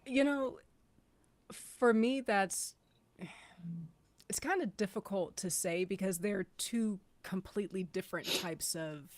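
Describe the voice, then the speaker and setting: A woman in a casual panel discussion, measured, thinking aloud and qualifying her points. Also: medium pitch, no echo.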